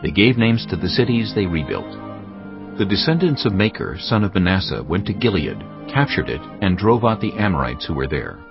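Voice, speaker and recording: Deep-voiced Bible narrator, expressive. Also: male voice, gravelly. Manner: steady, measured storytelling. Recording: dull lo-fi recording with music behind.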